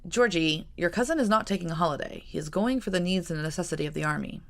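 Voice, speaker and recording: A woman's voice: fairly low pitch, fast talker, lightly acted. A woman playing a wry, teasing army officer. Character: genial male army officer cousin, teasing.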